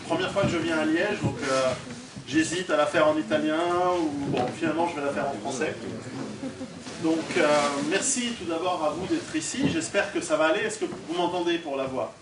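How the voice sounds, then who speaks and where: A distant, echoey recording of a man from Switzerland addressing an audience. He speaks in an informal, joking lecture style and projects to the room, with a fairly high-pitched voice for a man. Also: brisk. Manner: conversational and joking, polling the audience; projecting to a room without a microphone. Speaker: guest lecturer and author. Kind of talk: informal public talk to an audience. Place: indoor hall, recorded at a distance. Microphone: distant camera or recorder, no microphone on speaker. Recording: echoey hall from far off, noisy.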